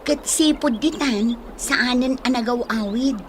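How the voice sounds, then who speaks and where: An actress with an Ilocano accent playing a practical, nosy young best friend in a radio drama. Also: female voice, a little high, unhurried, slight background noise, lightly acted. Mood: practical, sympathetic.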